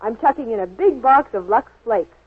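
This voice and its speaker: A woman's voice: on the high side, animated, moderate pace, breathy edge, no room sound. An American woman playing out scripted dialogue as a spokeswoman in a 1940s radio commercial. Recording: muffled, dry 1940s radio broadcast.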